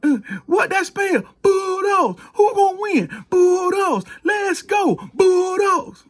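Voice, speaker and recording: A man's voice: very high-pitched, sing-song, slow pace, pitched above the performer's own voice, heavily acted. A man voicing a peppy, tireless cheerleader girl, chanting spelled-out cheers in a rhythmic call-and-response. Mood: peppy, rousing.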